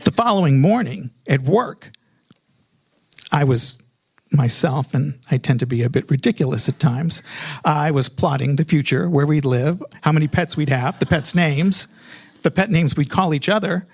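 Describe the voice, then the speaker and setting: A man's voice: on the high side, moderate pace, gravelly, darkish tone, slightly rough. A middle-aged American man telling a personal story in a comedic, self-mocking way, animatedly acting out remembered dialogue.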